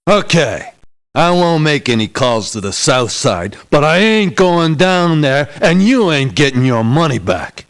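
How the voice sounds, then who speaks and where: Sardonic ex-cop mercenary, lively pitch. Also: male voice, a little slow, smooth. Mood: sardonic, threatening. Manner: sardonic, tough-talking. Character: gruff, sardonic ex-policeman mercenary man, streetwise and stubborn. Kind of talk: video game character voice acting.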